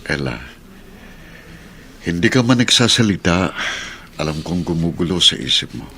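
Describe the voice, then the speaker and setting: A man's voice: low voice, sing-song, normal speed, a bit bright, a little hiss or hum, heavily acted. A man acting a patient, kindly middle-aged uncle and guardian in a Filipino radio drama, speaking earnestly. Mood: gentle, reassuring. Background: background music.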